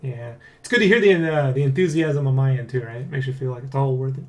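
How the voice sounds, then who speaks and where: A man hosting a development blog in casual, excitable, rambling chat, jokily boastful and swearing freely. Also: mid-range voice, fast. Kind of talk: casual vlog chat, addressing viewers.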